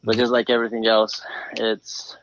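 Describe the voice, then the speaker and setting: A man's voice: lowish voice, monotone, normal speed, fairly bright tone, slight background noise. A man around forty from Romania, telling his story conversationally as a podcast guest. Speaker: podcast guest, flooring craftsman. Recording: slightly dull, dry remote call.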